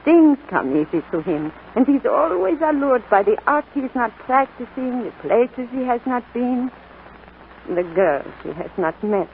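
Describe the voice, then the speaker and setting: A woman playing a kindly, devout French grandmother of seventy-seven in a 1940s radio drama, speaking gently and reflectively. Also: on the high side, big pitch swings, a little slow. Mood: wry, fond. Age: older. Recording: noisy, tinny 1940s radio broadcast.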